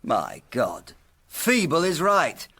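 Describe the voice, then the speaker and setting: An actor playing a jittery, officious British police inspector, stiff and indignant, in a vintage detective audio drama. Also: male voice, fairly high pitch, huge pitch swings, takes it slowly, pushed and bright, slightly rough, heavily acted. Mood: indignant, scornful. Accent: British English.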